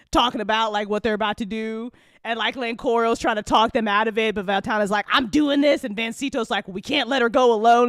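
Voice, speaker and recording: A woman talks off the cuff in a casual after-show chat, her voice on the high side and close and dry. She rambles through stories in an animated, slangy way, swearing freely and slipping into little acted-out quotes. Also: dry recording. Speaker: audio drama creator chatting on a live after-show.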